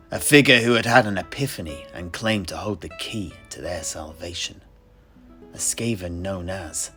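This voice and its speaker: A man playing a genial tavern keeper and amateur historian in an audio play, telling his tale in a deliberate, theatrical way with scholarly asides. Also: fairly low pitch, fairly bright tone. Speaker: storyteller and host of an audio play. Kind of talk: in-universe scripted storytelling to tavern listeners.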